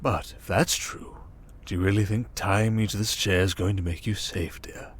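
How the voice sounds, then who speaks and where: A man playing a smug, manipulative criminal mastermind in an ASMR roleplay, calm and condescending, coaxing with endearments and soft breathy murmurs. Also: fairly low pitch, normal speed. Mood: teasing, probing. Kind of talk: ASMR roleplay monologue, dramatic.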